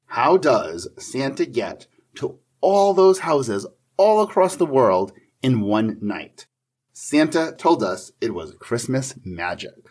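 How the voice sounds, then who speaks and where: A man's voice: mid-range voice, very animated, takes it slowly, darkish tone. A man co-hosting a podcast, interviewing and reading in a friendly, prepared style.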